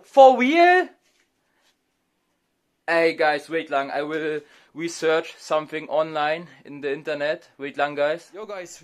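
A young man with a German accent speaks close to the camera in a small room. His voice sits high, and he talks in an excitable, chatty vlog style, dropping in Tagalog words. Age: young adult. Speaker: vlogger. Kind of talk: casual reaction vlog.

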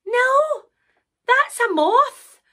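A woman voicing an indignant, plaintive little girl in a children's picture book reading, with loud, drawn-out protests. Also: very high voice, animated, pitched above the performer's own voice, exaggerated voice. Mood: exasperated.

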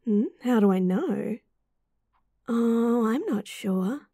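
Woman acting as a young female best friend, Australian accent, caring, teasing, slightly oblivious, soft breathy voice with some creak, relaxed, roleplay audio. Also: a little high, takes it slowly, no room sound, clean studio sound, full character voice. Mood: studious, teasing. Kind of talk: roleplay audio acting, intimate and softly spoken.

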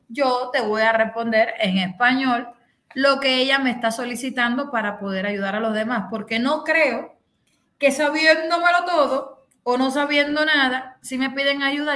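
Middle-aged Cuban host, bright sharp voice, vivid chatty storytelling over a video call. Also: female voice, high-pitched, reverberant. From Cuba. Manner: animated storytelling, acting out the other people in the story. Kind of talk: casual chat on a video call. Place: bedroom, indoors.

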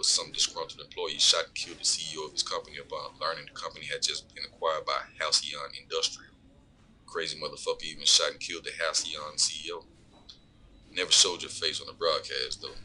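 A man's voice: very deep voice, pushed and bright, a little hiss or hum, exaggerated voice, low-quality recording. A man playing a smug, corrupt lawman in an audio drama, taunting and profane as he coolly threatens his informant. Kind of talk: scripted audio drama dialogue.

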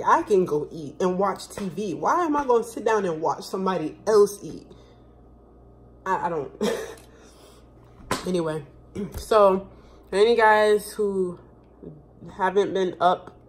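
A woman in her twenties chatting casually to her phone camera in a home kitchen, rambling with lots of fillers. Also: on the low side. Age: 20s. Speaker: vlogger. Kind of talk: casual chat. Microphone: phone camera propped close on the counter.

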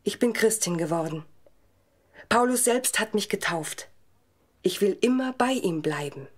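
A woman's voice: medium pitch, lively intonation, unhurried. An actress playing a devout young woman in an audio drama, speaking earnestly and fervently of her faith. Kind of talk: German audio drama acting.

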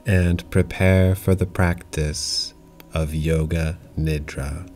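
A man guiding a yoga nidra relaxation in calm, measured instructions, leaving long pauses between short phrases. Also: extremely low pitch, faint music behind. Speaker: yoga nidra meditation guide. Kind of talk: guided meditation, slow calm instruction.

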